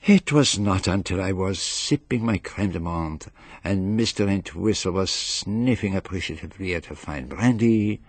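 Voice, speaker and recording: An actor playing a courteous, inquisitive gourmet detective in a radio drama, speaking in a measured, precise, formal way. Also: male voice, deep voice, husky, no echo, full character voice. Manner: measured, precise, formal, savouring his words. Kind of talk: radio drama acting with first-person narration.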